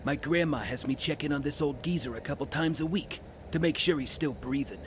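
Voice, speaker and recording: Man playing a brash, high-voiced young thug. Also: a little fast, a little hiss or hum, natural voice. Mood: cocky, bossy. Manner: brash, slangy, bossy. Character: cocky, aggressive young male street thief. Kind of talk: audio drama acting.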